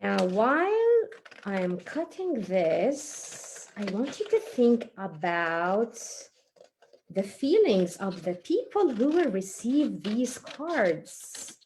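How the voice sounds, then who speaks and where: A woman's voice: medium pitch, very animated, slow, darkish tone, slight background noise, rough recording. A woman leading a children's craft tutorial in a playful, encouraging way, speaking to young viewers as one of them. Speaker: children's craft and story presenter.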